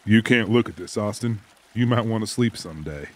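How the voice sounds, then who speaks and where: Man playing older boatman, low pitch, world-weary, deadpan, wry, protective, dramatized audiobook. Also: brisk, lightly acted. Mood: grave, protective.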